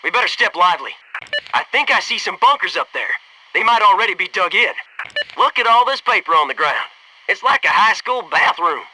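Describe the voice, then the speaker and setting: A brash special-forces soldier for a military shooter game. His voice sits high and swings widely in pitch. He delivers squad talk with folksy, sarcastic punch. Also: lively intonation, normal speed, bright, forceful tone, slight background noise, full character voice, low-quality recording. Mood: wry, focused. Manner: punchy, sarcastic wisecracks and urgent squad callouts.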